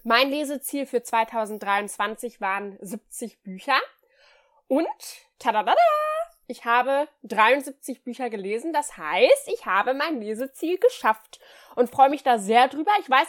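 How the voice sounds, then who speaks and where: A cheerful woman chats about her reading year on a book podcast. She has a cold, so her voice comes out a little nasal and stuffy. Her voice sits slightly high. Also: lively intonation, normal speed, fairly bright tone. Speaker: podcast co-host. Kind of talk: casual chat.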